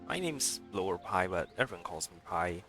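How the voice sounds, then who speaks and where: A man's voice: low voice, normal speed. A young man chatting casually and animatedly as he livestreams a video game. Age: young adult. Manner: animated, chatty, reacting to gameplay. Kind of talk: casual livestream chat while playing. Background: video game music.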